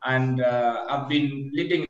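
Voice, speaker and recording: A man with a Kenyan accent speaking haltingly as a charity leader on an online panel. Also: mid-range voice, fairly even intonation. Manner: halting, pausing mid-sentence. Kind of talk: introductory talk on a video call. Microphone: video call. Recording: echoey, noisy, muffled internet connection.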